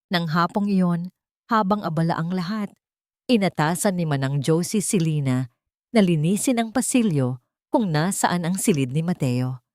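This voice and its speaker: A woman's voice: lowish voice, moderate pace, darkish tone, no room sound, clean studio sound. A woman narrating a scripted dramatic story as an audio drama.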